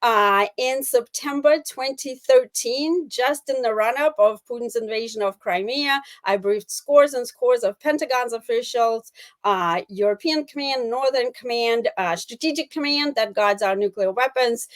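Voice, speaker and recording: A middle-aged woman with a Russian accent, explaining as an expert interview guest in a deliberate, emphatic way with pauses mid-phrase. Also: fairly high pitch. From Soviet Union. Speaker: guest analyst, former intelligence officer.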